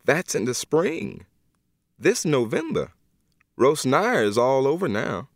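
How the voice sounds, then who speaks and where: A heavy, grieving old woman from the American South, put on by a man reading aloud, pleads in a high, drawn-out voice. Her words swing widely in pitch and stretch long with sorrow. The sound is close and dry. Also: huge pitch swings, vocal fry, no echo, exaggerated voice. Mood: scolding, pleading. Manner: slow, drawn-out, tearful pleading.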